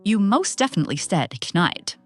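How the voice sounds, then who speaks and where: A woman's voice: medium pitch, sing-song, brisk, soft background music, voice pushed up. A woman voicing a small, chatty, eager mouse with prim, polite phrasing in a storybook reading. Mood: excited, insistent. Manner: prim, formal, chirpy wording.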